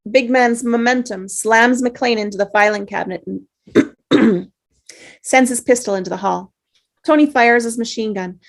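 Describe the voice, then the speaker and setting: A woman narrator reading screenplay action and scene headings, sometimes stumbling and rereading phrases. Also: fairly high pitch. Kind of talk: scripted narration, reading stage directions.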